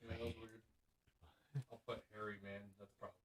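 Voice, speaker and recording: A man's voice: fairly low pitch, flat delivery, normal speed. A young man chatting casually as a podcast co-host. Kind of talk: casual chat.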